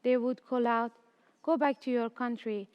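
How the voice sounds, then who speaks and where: A young woman in her late teens from Afghanistan, raised in Iran, a student reading her personal story slowly, carefully and earnestly. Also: fairly high pitch, fairly even intonation, smooth, big-room sound, dull audio.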